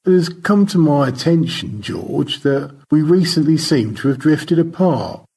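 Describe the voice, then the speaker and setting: Audio story role, male reader: polite, over-precise crewman in space, roundabout and earnest, with a creaky, rasping voice. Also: on the high side, expressive, a little slow, warm tone, pitched above the performer's own voice, exaggerated voice. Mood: earnest, awkward. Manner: precise, circumlocutory, hesitantly polite.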